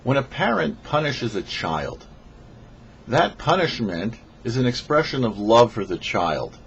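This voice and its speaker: A man reading a scripted religious teaching as a rabbi and show host, phrasing deliberately and emphatically with pauses. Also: medium pitch, big pitch swings, gravelly, fairly bright tone. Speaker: rabbi, host of a weekly Torah portion show. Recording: dull lo-fi audio with faint noise.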